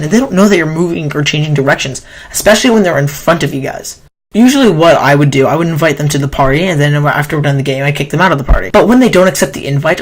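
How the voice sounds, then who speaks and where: Nasal young man with a sore throat. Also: fairly high pitch. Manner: rambling, self-correcting, complaining. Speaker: gaming YouTuber. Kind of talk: casual countdown commentary.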